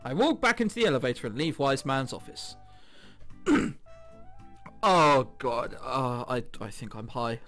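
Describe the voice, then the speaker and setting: A man doing a let's play of a visual novel, reading aloud and reacting in a giggly, excitable way. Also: medium pitch, measured pace, pauses often. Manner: giggly, excitable, often breaking into laughter while reading. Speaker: video game commentator. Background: game background music.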